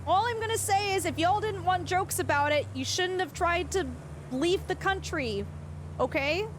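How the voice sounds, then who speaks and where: A woman's voice: very high voice, normal speed, background noise. A woman livestreaming a game, chatting casually with viewers in a rambling way, restarting her sentences and laughing easily. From Canada. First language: English. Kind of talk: casual chat with viewers while playing.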